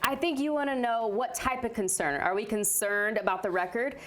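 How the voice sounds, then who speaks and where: A woman's voice: fairly high pitch, fairly quick, a bit bright, slight background noise. A woman giving sports analysis on a talk show as a reporter, making her points emphatically.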